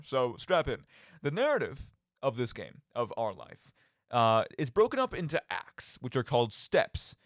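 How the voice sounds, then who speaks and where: A man's voice: medium pitch, no room sound, clean studio sound. A man hosting a radio monologue about video games, speaking theatrically with rhetorical questions and direct asides to his listeners. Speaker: podcast host.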